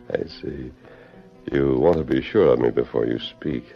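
A man acting a shrewd, calm masked cowboy hero in an old-time radio western, with a measured, authoritative delivery. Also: extremely low pitch, creaky, soft background music, heavily acted. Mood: calm, probing. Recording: muffled, noisy vintage radio recording.

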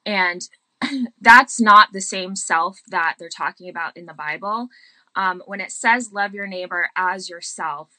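A woman's voice: on the low side, unhurried, pushed and bright, dry recording, poor audio. A woman in a casual, reflective conversation about faith, explaining things thoughtfully and with emphasis.

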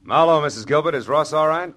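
A man's voice: fairly high pitch, fast talker, a bit bright, no echo, close to an everyday voice. A man playing a sardonic, slangy private detective in a 1940s-style radio drama. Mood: businesslike. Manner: sardonic, slangy, clipped wisecracking. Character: hard-boiled, wisecracking male private detective. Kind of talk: 1940s radio drama acting and first-person narration. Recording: old radio broadcast with music underneath.

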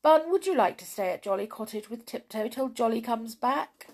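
Woman doing a bright, comforting toy friend. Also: on the high side, animated, voice pushed up. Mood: reassuring. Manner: brisk, comforting reassurance.

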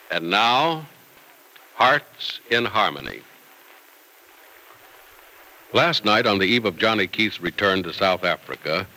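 A man's voice: mid-range voice, bright, forceful tone, poor audio. A man announcing on old-time radio in an emphatic, polished style, on an old radio recording. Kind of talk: mid-century radio advertising and serial narration.

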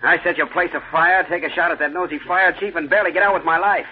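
A man's voice: fairly high pitch, steady tone, quick pace, husky. A man playing an angry, greedy hired arsonist, snarling slangy threats in 1940s radio drama style. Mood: furious. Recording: tinny, hissy old radio recording.

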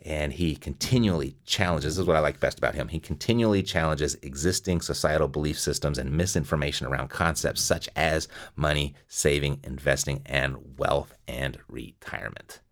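A man's voice: a bass voice, moderate pace, few pauses. A man hosting an investing podcast, giving motivational advice and repeating key phrases for emphasis. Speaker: podcast host. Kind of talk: energetic podcast monologue and interview.

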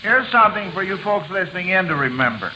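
Old radio quiz host guy, kinda high voice, bumbling schoolteacher banter, lots of hiss. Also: fast talker. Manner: chuckling, fumbling, schoolmasterly banter. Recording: noisy, crackling old radio broadcast. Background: steady loud hiss and crackle.

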